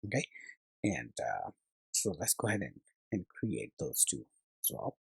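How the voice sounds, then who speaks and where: A man's voice: lowish voice, very animated, measured pace, vocal fry, breathy edge, no room sound, clean studio sound. A man narrating a step-by-step coding tutorial as its instructor, hesitantly thinking aloud as he works.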